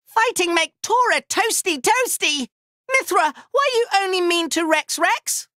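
A man voicing a small, excitable, proud furry inventor creature for a video game, squeaky and cartoonish, talking in childlike broken grammar and in the third person. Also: unhurried, dry recording, clean studio sound, exaggerated voice. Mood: excitable, chatty. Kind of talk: video game character voice lines.

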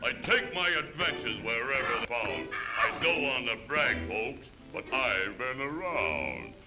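A man playing a huge, boastful, swaggering sailor villain, gruff and booming, in rhymed 1930s cartoon style. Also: very animated, unhurried, poor audio. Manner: pompous, theatrical. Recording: old 1930s film soundtrack, loud music behind. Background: loud orchestral music.

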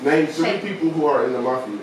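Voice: mid-range voice, fast talker. A player teasing the others during a crowded game night, picked up by a far camera. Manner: teasing, animated. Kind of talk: casual party chat. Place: large dining room at a crowded table. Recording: echoey room, far from the mic, crowd noise.

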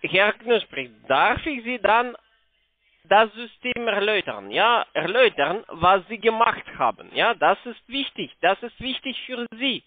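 Man, foreign accent, non-native halting German, higher-pitched, very animated, insistent phone salesman, thin phone sound. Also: no echo. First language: not German. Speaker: call-centre telephone salesman. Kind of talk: unscripted sales phone call. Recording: muffled narrowband phone line.

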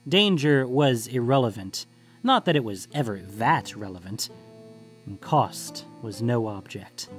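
Guy narrating a fantasy story, normal pitch, faint music behind.

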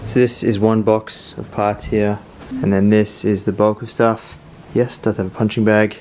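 A young man vlogging casually in a relaxed, chatty, self-mocking way, filmed on a camera mic under a house deck and indoors. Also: lowish voice, normal speed, background noise. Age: young adult. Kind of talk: casual vlog chat. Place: outdoor area under a house deck, then indoor room.

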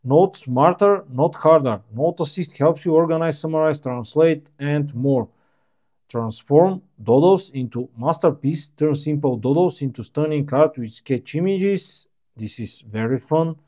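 A man whose first language is Bulgarian talks through a phone's settings at an easy pace. His voice is warm and low in brightness, his phrasing plain and a little halting, like a casual tech walkthrough. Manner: unhurried, plainspoken, slightly halting non-native phrasing. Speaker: tech reviewer.

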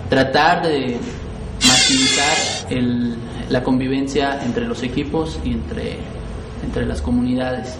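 A man's voice: medium pitch, very animated, slow pace, low-quality recording. Young male interviewee, Mexican accent, hesitant, swinging intonation, music behind. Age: young adult. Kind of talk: news interview answer. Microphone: handheld TV microphone.

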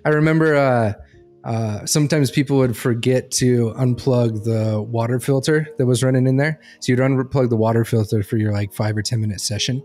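A man's voice: medium pitch, steady tone, moderate pace, darkish tone, faint music behind. A man telling anecdotes in casual podcast talk. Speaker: podcast speaker on behavior analysis.

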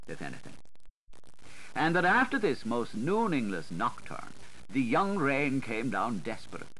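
Man reading theatrically, very lively pitch, hissy recording. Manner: playful, dramatic, sing-song delivery with shifting inflection. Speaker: audiobook narrator. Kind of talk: theatrical literary audiobook reading. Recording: noisy 1980s audiobook recording.

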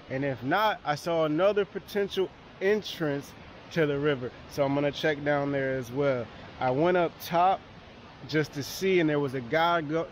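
A young man talking casually to camera outdoors in a colloquial, easygoing way, as a travel vlogger. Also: fairly high pitch. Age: young adult. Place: outdoors by a roadside and river waterfall. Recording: outdoor camera audio with ambient noise.

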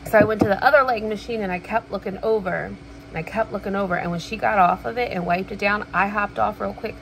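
A woman's voice: medium pitch, fast talker, gravelly, noisy background. A woman in her thirties chatting casually in a rambling, sweary personal vlog recorded in a room at home. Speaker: vlogger. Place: small indoor room at home.

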